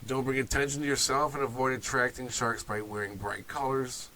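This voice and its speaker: A man's voice: medium pitch, fairly even intonation, fairly quick, pushed and bright, a little hiss or hum. A man hosting a video talk series, sounding nasal from a cold, loose and offhand with asides. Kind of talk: informal talk to camera.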